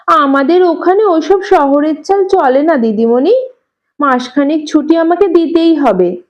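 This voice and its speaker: Stubborn manservant, voiced by a woman reader: grave, insistent, high-pitched, audio-story drama. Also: measured pace, darkish tone, dull audio. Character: stubborn, grave male household servant with a grown son.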